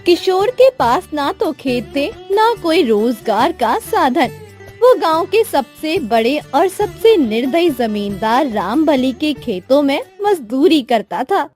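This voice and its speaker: A woman's voice: sits high, big pitch swings, takes it slowly, darkish tone. A woman storyteller reading scripted narration for a moral tale. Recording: music behind the voice.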